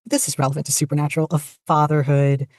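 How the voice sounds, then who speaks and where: A woman's voice: low voice, flat delivery, fast talker, a little mellow, dry recording, clean studio sound. A woman chatting casually as a podcast guest, rambling along with self-deprecating humor. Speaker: podcast guest, fanfiction writer. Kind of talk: casual chat.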